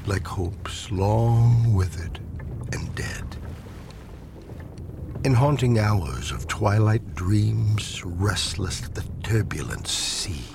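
A man giving a solemn, measured dramatic reading of poetry, often dropping into hushed, breathy phrase endings, as a poetry reader. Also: lowish voice, background noise. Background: ambient sound design.

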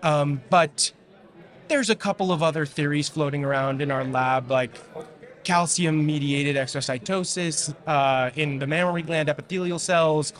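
A young man in his twenties, a graduate student answering interview questions about his research in a relaxed, technical way with fillers and restarts. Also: fairly high pitch, normal speed.

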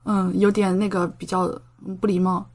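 Woman, medium pitch, casual interview talk, talkative, explains with examples. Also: flat delivery, moderate pace, a little mellow. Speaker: podcast guest, doctoral student in medicine living in Japan.

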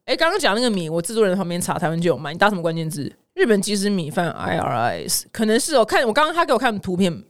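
Animated Taiwanese Mandarin woman podcast host. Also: medium pitch, very fast, hardly any pauses, no room sound, studio quality. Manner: animated, dramatic exaggeration. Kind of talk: casual comedic news chat.

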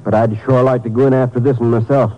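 An actor playing a folksy rural sheriff with a grudge, in a 1950s radio drama. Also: male voice, mid-range voice, flat delivery, quick pace, mellow, lightly acted. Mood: aggrieved. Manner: folksy, aggrieved, drawling. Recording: dull old radio recording.

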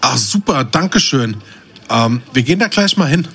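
A man's voice: mid-range voice, animated, moderate pace, a bit bright, slight background noise. A man playing a brash, cheeky buddy in a comedy audio drama, talking casually in teasing slang. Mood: curious.

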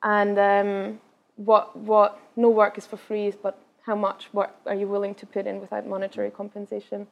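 A young woman from Austria, whose first language is Austrian German, with an Austrian accent with Scottish touches. She answers audience questions at a live event in a fast, chatty way with many fillers and restarts. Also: medium pitch, monotone, creaky, highs cut off. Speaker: guest speaker.

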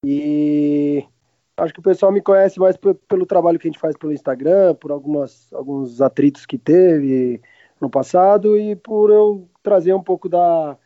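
A man in his late thirties from Argentina with a Brazilian accent, chatting casually and haltingly as an interview guest, often backtracking mid-sentence. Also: a little high, no echo. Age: late 30s. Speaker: podcast guest, restaurant owner. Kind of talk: casual chat. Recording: roomless dry, slightly dull lo-fi sound.

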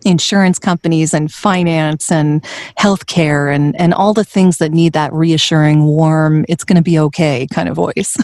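A woman voiceover artist with a smooth voice, talking in an interview and laughing often in a light, self-deprecating way. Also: deep voice, steady tone, normal speed, darkish tone, no room sound, studio quality. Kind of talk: conversational interview.

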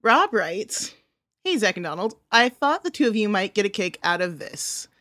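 A woman's voice: mid-range voice, sing-song, normal speed, bright, forceful tone, dry recording, studio quality. A woman on a podcast, talking casually and reading out listener mail. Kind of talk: casual talk and letter reading.

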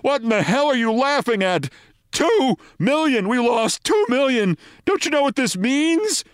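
A frantic baseball general manager, acted out by a male storyteller, pitched far above a normal speaking voice. He is near hysterical and shrill, swooping wildly as he wails out his story lines. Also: very animated, a little slow, clear, resonant tone, no room sound, studio quality, heavily acted. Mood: frantic anger.

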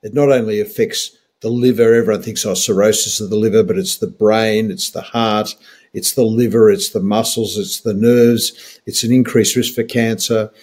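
An Australian man in his sixties speaks as a doctor on a health podcast. His voice is fairly low and a little husky. He talks emphatically, with firm opinions and a wry sense of humour. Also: fairly even intonation, normal speed, darkish tone.

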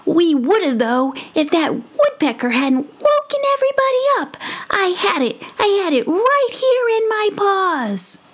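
Brisbane, a woman doing a squeaky indignant little critter. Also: sing-song, measured pace, exaggerated voice. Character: small whiskered male critter, feisty and indignant.

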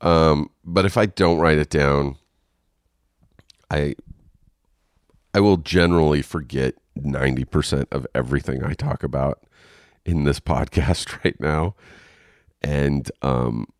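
A man's voice: very deep voice, unhurried, long pauses, no room sound, clean studio sound. A congested man hosting a solo podcast, rambling frankly with lots of ums and restarts, sounding a little nasal and coughing. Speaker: podcast host. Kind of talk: casual solo podcast monologue. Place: home.

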